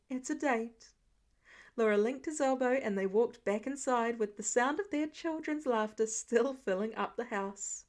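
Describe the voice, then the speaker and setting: A woman reading fiction aloud as an expressive, scripted story narration. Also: fairly high pitch. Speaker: audiobook-style fan fiction reader.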